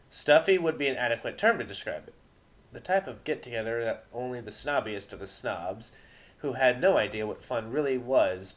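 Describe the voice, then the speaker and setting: A man of medium pitch reads a story aloud as narrator. His delivery is dramatic and deliberate, putting weight on key words.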